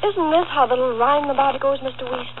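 A woman's voice: high voice, fast. A woman reading scripted 1940s radio ad dialogue as the sponsor's sidekick, chirpy, folksy and eager. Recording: noisy, narrow old radio recording.

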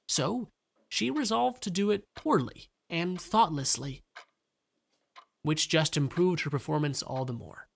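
Male narrator for short fiction, somewhat high-pitched voice, scripted read. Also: a bit bright. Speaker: story reader.

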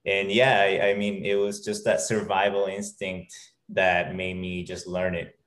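A man from Peru speaks in a notably low voice, telling his story as a podcast guest. He talks loosely and haltingly, full of "uh" and "you know" and restarted phrases. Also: level tone, a bit bright. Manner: halting, many fillers and restarts. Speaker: guest, fitness trainer. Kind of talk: casual interview talk.

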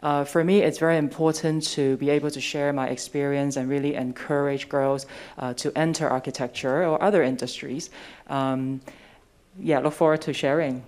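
Woman architect, thirties, from Hong Kong, deep smooth voice, cheerful. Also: fairly even intonation, moderate pace, darkish tone. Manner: cheerful, laughs, many uhs. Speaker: architect, panelist.

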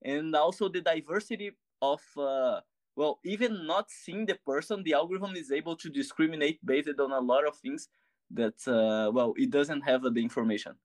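A man's voice: a little high, normal speed, dry recording. A man with a Brazilian accent hosting an interview, asking long questions while searching for words. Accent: Brazilian Portuguese. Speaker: interviewer, host.

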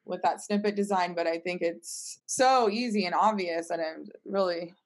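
A woman's voice: on the low side, moderate pace. Casual woman explaining in conversation. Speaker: startup team member, panel guest.

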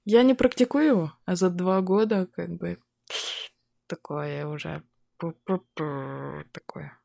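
Young woman from Buryatia, low voice, dry close sound, ironic casual talker who laughs a lot. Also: measured pace, creaky, dark tone, no echo. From Buryatia, Russia. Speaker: guest. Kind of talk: casual chat.

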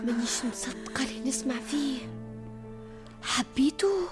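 A loyal young maidservant in a Tunisian radio drama, worried and protective. She pleads in a hushed, earnest voice pitched very high, with faint music behind her. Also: animated, normal speed. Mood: disbelieving.

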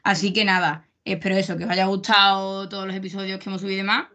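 A woman's voice: mid-range voice, flat delivery, fast talker, bright, forceful tone. A young woman hosting her podcast in a loose, chatty way, with frequent restarts. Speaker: podcast host.

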